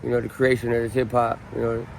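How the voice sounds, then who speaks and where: A man's voice: mid-range voice, fast, gravelly, low-quality recording. Laid-back man rambling through a story. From Southern California. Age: 30s. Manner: relaxed, rambling, many ums and restarts. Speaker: interviewee. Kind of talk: casual street interview storytelling. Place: outdoors on a city street. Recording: faint street noise outdoors.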